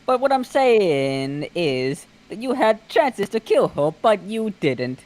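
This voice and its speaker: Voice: medium pitch, big pitch swings, slow pace, darkish tone. A person casually reading story dialogue aloud among friends.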